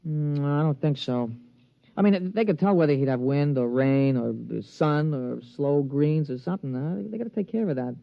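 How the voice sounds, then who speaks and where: Plain-spoken caddy, higher-pitched man's voice, folksy slang, loyal, old radio drama, dulled sound. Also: normal speed, gravelly. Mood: hesitant, scheming. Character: loyal, plain-spoken male golf caddy telling the story. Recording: muffled old radio recording, music behind.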